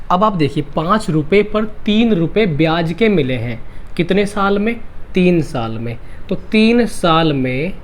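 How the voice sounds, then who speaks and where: A male math teacher with a sore throat, giving an exam-prep lesson. He repeats each step and asks and answers his own questions. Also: high-pitched. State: sore throat, clears throat and coughs.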